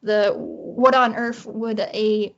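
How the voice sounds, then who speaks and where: A woman's voice: on the high side, steady tone, slow, dull audio. A woman speaking as a guest writer in a casual interview, thinking aloud in an informal way.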